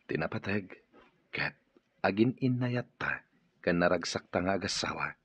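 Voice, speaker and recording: Loving husband role, radio drama, extremely husky low voice, affectionate. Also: male voice, animated, a little slow, a bit bright, dull audio, heavily acted. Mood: tender. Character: loving, devoted husband longing for a child. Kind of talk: radio drama acting in Ilocano.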